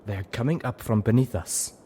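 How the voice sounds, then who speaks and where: A man's voice: mid-range voice, moderate pace, warm tone, a little hiss or hum, pitched above the performer's own voice, lightly acted. A man voicing a grave female zebra warrior in a storybook reading, terse and solemn as she warns and instructs. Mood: urgent, instructive.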